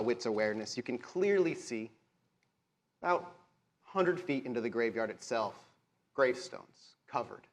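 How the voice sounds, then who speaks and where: A man working as game master, narrating a tabletop horror game live with dramatic, descriptive storytelling. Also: mid-range voice. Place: live stage at a gaming convention. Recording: echoey hall, live convention show.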